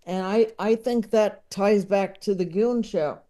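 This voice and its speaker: A woman chatting casually as a podcast guest, enthusiastic and digressive, often halting as she works out her thought. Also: mid-range voice, mellow. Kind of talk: casual chat about books and comedy.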